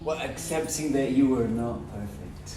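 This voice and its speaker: A man's voice: mid-range voice, normal speed. Male teacher, emphatic explainer, roomy sound with music underneath. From Brazil. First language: Portuguese. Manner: emphatic, explanatory, speaks in short repeated phrases. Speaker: English teacher. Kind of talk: podcast conversation. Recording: roomy, distant mic, music behind.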